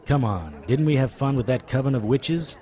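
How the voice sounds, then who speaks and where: An actor playing a stubborn, wisecracking supernatural private investigator in an audio drama, wry, flirtatious and persuasive. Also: male voice, medium pitch, very animated, moderate pace, dark tone, some chatter behind, natural voice. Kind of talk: audio drama acting with noir internal monologue.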